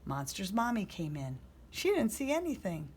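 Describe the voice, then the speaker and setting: A woman reading a children's story aloud as a storyteller, in expressive narration. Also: medium pitch, normal speed, slightly rough.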